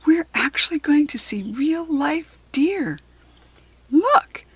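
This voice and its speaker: An American woman voicing an eager, excitable young girl from a storybook, with breathless enthusiasm. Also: high voice, slow, dry recording, pitched above the performer's own voice, exaggerated voice. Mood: thrilled. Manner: bright-eyed, breathlessly enthusiastic.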